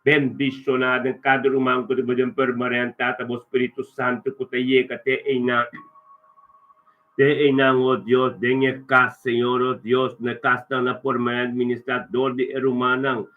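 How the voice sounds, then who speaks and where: A man's voice: mid-range voice, little pitch movement, moderate pace. Phone-like recording, man praying. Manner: repetitive, invocatory, punctuated by refrains. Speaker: prayer leader. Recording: thin narrow phone-like sound.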